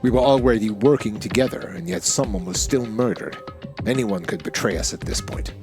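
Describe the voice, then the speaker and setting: A man's voice: mid-range voice, lively intonation, a little fast, vocal fry, over music. A man voicing a cold, aloof, cynical teenage boy in a visual novel game. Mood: cold cynicism.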